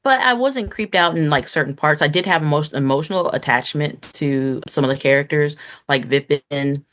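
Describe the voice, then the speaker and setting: A woman's voice: low voice, moderate pace, a bit bright. A woman co-hosting a podcast, giving her opinions in a casual, informal and conversational way. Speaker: podcast host. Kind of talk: casual review chat.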